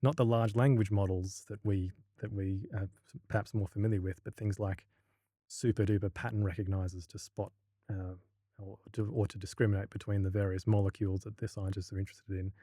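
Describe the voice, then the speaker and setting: A man's voice: fairly low pitch, little pitch movement, fairly quick, mellow, no echo, clean studio sound. An Australian man, a university lecturer, talking as a guest in a casual podcast interview, hesitant and full of restarts. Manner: hesitant, many restarts and fillers.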